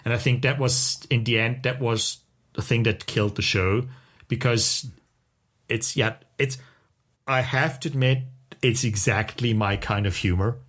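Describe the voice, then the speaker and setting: A middle-aged man co-hosting a podcast, chatting casually and enthusiastically with a halting delivery. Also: medium pitch, fairly even intonation, fairly bright tone. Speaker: podcast co-host. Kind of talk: casual chat about TV shows.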